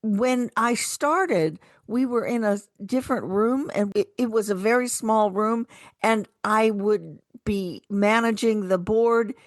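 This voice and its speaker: A woman's voice: on the high side, takes it slowly, no echo, clean studio sound. A woman co-hosting a radio show, speaking in a casual, chatty style. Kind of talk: casual radio chat with some program introductions.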